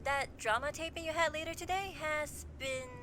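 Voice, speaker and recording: Woman doing chirpy upbeat lady manager, anime dub, high bright voice, bit of noise. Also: moderate pace. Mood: chirpy, businesslike. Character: chirpy, upbeat female talent manager on phone.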